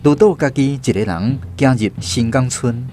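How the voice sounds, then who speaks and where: A man's voice: mid-range voice, moderate pace, warm tone, slight background noise. A man with a Taiwanese accent narrating a radio drama in a dramatic storytelling style. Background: prominent background music.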